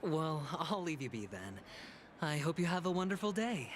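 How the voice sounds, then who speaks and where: A man voicing a smooth young schemer who laughs softly and sometimes drops to a whisper, in a game with background noise. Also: fairly high pitch, very animated, moderate pace. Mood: amused.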